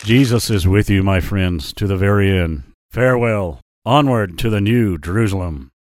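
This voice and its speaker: A man voicing a big, kindly male lion in a children's story, speaking in slow, earnest warnings. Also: lowish voice, a little mellow, no echo, clean studio sound, lightly acted. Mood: gentle solemn. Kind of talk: storybook character voice.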